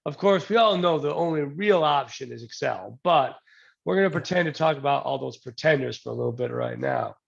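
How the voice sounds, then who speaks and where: A man getting over a cold, giving a relaxed technical presentation to a user group over a video call, with plenty of ums and restarts and the odd joke. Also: mid-range voice, a little fast, creaky, muffled recording. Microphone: new desk mic, then a headset.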